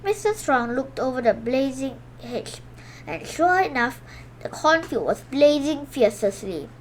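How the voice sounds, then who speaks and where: A woman reads a children's picture book in a high voice. Her delivery swings up and down with lots of expression, punching out sound words and now and then correcting a slip. There is faint noise behind her. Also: measured pace, low-quality recording. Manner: animated, exclaims sound words, stumbles and corrects herself. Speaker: storybook reader for a children's video channel.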